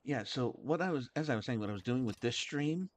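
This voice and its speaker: A man hosting a game livestream, chatting casually in a halting, rambling way. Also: medium pitch, expressive. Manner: halting and rambling, many restarts. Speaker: game streamer, host.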